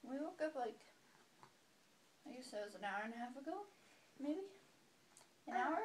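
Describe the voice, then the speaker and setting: A woman's voice: sits high, expressive, normal speed, a little hiss or hum. A woman reading a storybook aloud to a small child at home, chatting casually in between in a playful, sing-song way. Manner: playful, sing-song child-directed talk with dramatic gasps.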